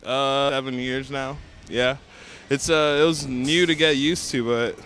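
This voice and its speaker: A man born in Nigeria who grew up in Detroit, answering street interview questions in a relaxed, offhand way. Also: medium pitch, measured pace, smooth.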